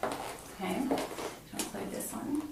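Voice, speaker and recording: A very reverberant, distant recording of a woman conducting a clinical examination. She gives step-by-step instructions in a polite, reassuring way, over clear background noise. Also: slow pace. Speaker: examiner performing a physical assessment. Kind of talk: clinical exam talk to a patient, explaining each step.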